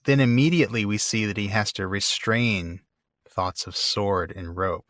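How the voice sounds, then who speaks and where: A man's voice: fairly low pitch, moderate pace, a bit bright, dry recording. A man hosting a podcast, talking through books in a casual, conversational and emphatic way. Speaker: podcast host. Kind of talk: casual literary discussion.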